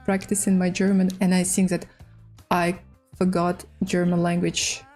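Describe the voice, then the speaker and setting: A woman with a Russian accent, a native Russian speaker, hosting a casual chess livestream in halting, hesitant English. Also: fairly low pitch, completely flat delivery, a little mellow, faint music behind. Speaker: livestream host and chess player. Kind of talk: casual livestream chat while playing chess.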